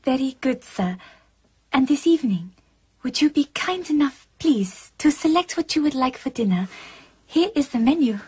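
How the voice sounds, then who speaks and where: A woman's voice: sits high, animated, moderate pace, darkish tone, breathy edge, heavily acted. A woman playing a demure, deferential young attendant in a radio drama, with formal, careful phrasing. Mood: polite, formal.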